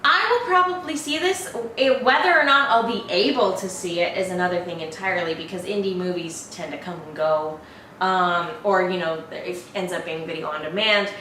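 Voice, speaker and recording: A woman's voice: fairly low pitch, moderate pace, sharp tone. A woman hosting a trailer reaction show, talking about films in an informal, chatty way. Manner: informal and chatty, laughs often. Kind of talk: casual film review chat. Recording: very roomy, distant mic, faint noise.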